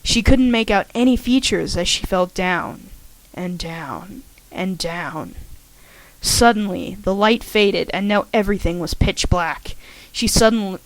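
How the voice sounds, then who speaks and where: A woman's voice: mid-range voice, a little slow, dry recording, poor audio. Woman narrator, amateur audiobook, slightly shaky, dry close mic. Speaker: fanfiction reader.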